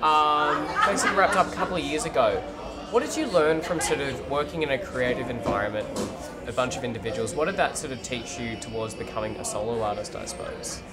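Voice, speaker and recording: A man's voice: mid-range voice, brisk, a loud crowd. A young man hosting a music TV interview in a pub, upbeat and full of "sort of" fillers. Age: young adult. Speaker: music TV host. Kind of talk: casual interview. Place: pub room at a gig. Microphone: camera framing, seated at table. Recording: loud live band in background.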